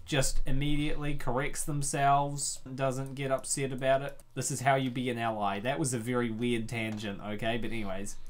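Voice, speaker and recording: A woman's voice: a bass voice, level tone, normal speed, hardly any pauses. A woman hosting a reaction in a casual, joking, playful way. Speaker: reaction host and dub reader.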